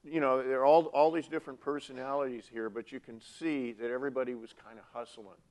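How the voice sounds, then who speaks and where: A man's voice: mid-range voice, fairly even intonation, a little fast, darkish tone. A middle-aged man, a professor, reflecting and lecturing students at a panel. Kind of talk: panel discussion.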